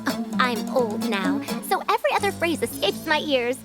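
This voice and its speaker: A woman's voice: high-pitched, big pitch swings, moderate pace. An actress voicing a proud, teasing scholar woman who is over a hundred years old but young at heart. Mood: haughty teasing. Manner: haughty, teasing elders' tone. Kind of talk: game character voice acting. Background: loud game music.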